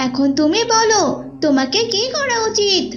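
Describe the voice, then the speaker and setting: A woman's voice: extremely high pitch, animated, normal speed. A woman voicing a pious, insistent young big sister who nags and admonishes in an Islamic cartoon, in a dull recording. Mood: admonishing. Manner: nagging, admonishing. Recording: dull lo-fi audio with music behind.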